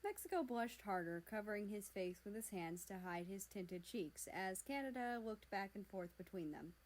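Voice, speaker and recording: A woman reading fan fiction aloud as an expressive narrator. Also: medium pitch, normal speed, rough recording.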